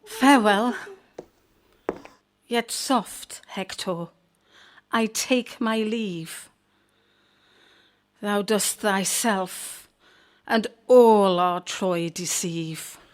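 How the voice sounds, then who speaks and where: A woman's voice: medium pitch, drawn-out words, pauses often. A woman playing a doom-struck Trojan seer in a Shakespearean audio drama, solemn and declamatory. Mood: mournful.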